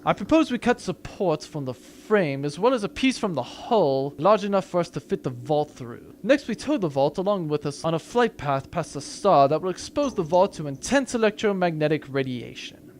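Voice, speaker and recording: A man's voice: sits high, animated, normal speed, slight background noise. A man playing a pompous, theatrical spacefarer proud of convoluted schemes, speaking wordily in a sci-fi comedy audio drama. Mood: pompous, eager.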